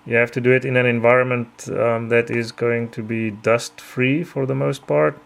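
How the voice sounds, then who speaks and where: Gravelly man, Afrikaans native speaker. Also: medium pitch, flat delivery. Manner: measured, careful, frequent ums. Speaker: DIY tutorial narrator. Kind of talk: explanatory voice-over.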